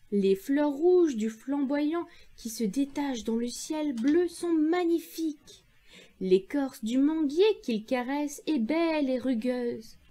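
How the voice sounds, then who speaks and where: A woman storyteller narrating an audio tale for children in an expressive, scripted reading. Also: sits high.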